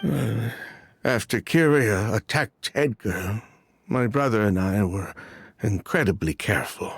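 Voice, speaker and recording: Nervous nobleman, husky, slow, swings up and down in pitch, dry close sound. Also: lively intonation, full character voice. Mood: shaken. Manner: nervous, stammering, defensive. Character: frightened young nobleman hiding a secret. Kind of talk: game voice acting.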